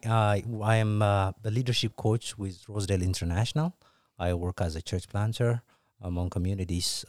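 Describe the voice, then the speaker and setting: Podcast guest: man from Ethiopia, 40s, very low voice, dry close sound, halting with fillers. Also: a little mellow, no echo. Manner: hesitant, many fillers, laughs often. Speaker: podcast guest, church planter. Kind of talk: casual interview chat.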